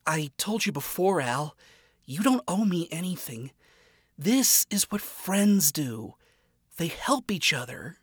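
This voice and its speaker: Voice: medium pitch, animated, measured pace, a bit bright, dry recording, clean studio sound, pitched above the performer's own voice. A reader voicing an earnest, upbeat young demon princess who speaks in an eager, sincere way. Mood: playful.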